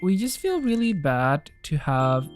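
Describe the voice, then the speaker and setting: A woman's voice: low voice, sing-song, a little slow. A woman from Indonesia chatting casually while playing a cozy game, thinking aloud and telling a personal story. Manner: chatty, thinks aloud, reads game lines and viewer comments aloud. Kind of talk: casual let's-play chat. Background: faint game music.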